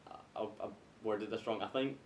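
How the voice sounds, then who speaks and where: Lowish-voiced Scottish man, echoey recording. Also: moderate pace. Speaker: podcast panellist. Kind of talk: casual chat about video games. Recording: echoey room, faint rumbling noise.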